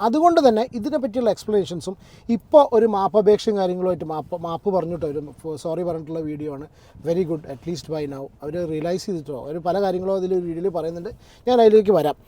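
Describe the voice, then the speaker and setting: A man with a fairly high yet soft-edged voice talks casually to his online audience in a dry recording. He wanders between asides, repeating and rewording phrases as a reaction commentator. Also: dry recording. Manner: conversational, digressive, repeats and rephrases himself.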